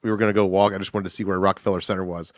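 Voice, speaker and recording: A man co-hosting a podcast in casual chat, telling animated stories, acting out quotes and laughing often. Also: low voice, racing, dry recording, studio quality. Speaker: podcast co-host.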